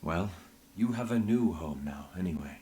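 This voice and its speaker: An actor playing a measured, earnest male investigator in a video game, formal and tactful. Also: low-pitched, expressive, warm tone, a little hiss or hum. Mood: concerned, careful. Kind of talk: dramatic video game voice acting.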